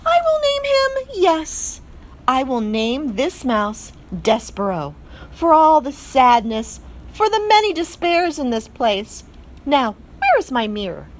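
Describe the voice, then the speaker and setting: A woman's voice: high-pitched, huge pitch swings, unhurried, voice pushed up, exaggerated voice. A woman voicing a vain, melodramatic mother mouse with a put-on French accent, wailing and sighing.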